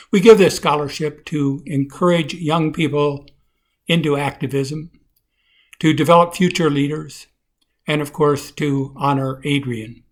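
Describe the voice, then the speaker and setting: A man giving a measured, formal prepared announcement of a scholarship to a congregation. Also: a little high.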